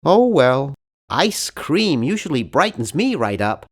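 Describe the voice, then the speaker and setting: Casting: nerdy young game hero, nasal, very animated intonation, timid, polite, hesitant, earnestly bookish. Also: male voice, on the high side, takes it slowly, heavily acted. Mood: polite, deadpan. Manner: awkward, hesitant, earnest and fussy. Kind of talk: video game character voice lines.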